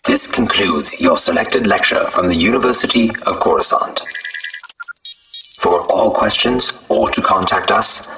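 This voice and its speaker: A man voicing a formal university announcer reading a flat, official closing message, recorded with hiss and from a distance. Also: low-pitched, measured pace, voice pushed down.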